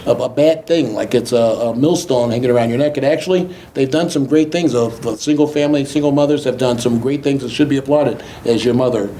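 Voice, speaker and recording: Bright-voiced male talk show host, noisy recording. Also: mid-range voice, brisk, hardly any pauses. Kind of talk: conversational interview. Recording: clearly noisy background ambience.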